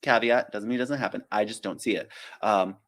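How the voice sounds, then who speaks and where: A man's voice: fairly low pitch, a little fast, fairly bright tone. A man, a flight attendant hosting a casual live-stream Q&A, chatty and self-deprecating, drifting into tangents and acting out little quotes.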